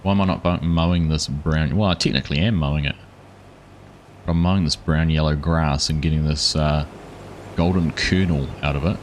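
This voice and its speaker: Kiwi guy streaming a farm game, super deep voice, laid back, chats w viewers. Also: moderate pace, slight background noise. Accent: New Zealand. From New Zealand. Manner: relaxed, rambling, chats with viewers. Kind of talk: casual gameplay livestream chat.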